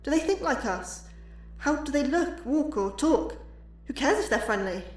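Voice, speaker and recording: A woman's voice: high-pitched, lively intonation, moderate pace. A woman playing an enthusiastic but anxious astrobiologist giving an academic lecture in an audio drama, earnest and slightly awkward. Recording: echoey, distant hall with music.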